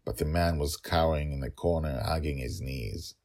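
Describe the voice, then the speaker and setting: A man with a non-native English accent that catches on L's and R's, telling a story in a theatrical, emphatic, husky way as narrator. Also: a bass voice, moderate pace, darkish tone. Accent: non-native English accent, trouble with L's and R's. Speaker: host, writer and narrator of a serial story podcast.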